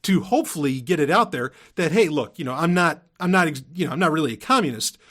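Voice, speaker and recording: A man's voice: fairly high pitch, lively intonation, fast talker, a bit bright. A man hosting a podcast in a casual, unscripted monologue, rambling and emphatic, often repeating himself and swearing casually. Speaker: podcast host.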